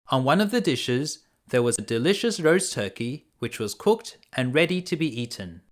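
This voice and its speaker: A man reading a children's fairy tale aloud as a bedtime storyteller, in a simple, deliberate way. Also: medium pitch, a bit bright.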